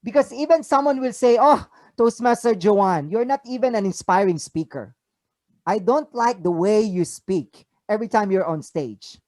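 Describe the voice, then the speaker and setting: A speaker with a Filipino accent giving an inspirational talk over a video call, asking the listeners rhetorical questions with emphatic rises. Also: male voice, sits high, expressive, moderate pace, darkish tone, no echo. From Philippines. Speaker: motivational speaker. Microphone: video call mic.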